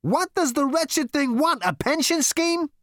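A brash male space rogue from a comic storybook, as a man reads him aloud. He is impatient and sarcastic, exclaiming in a raised, swinging pitch, recorded close and dry. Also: lively intonation, a little slow, fairly bright tone, dry recording. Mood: exasperated, incredulous.

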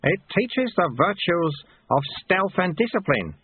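A man's voice: sits high, very animated, measured pace, breathy edge, dry recording, phone-quality sound, voice pushed up, heavily acted. A man voicing a wily old sweeper monk, a stern and bossy master who snaps and needles, in an audiobook. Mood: dry. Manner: snapping, growling, needling.

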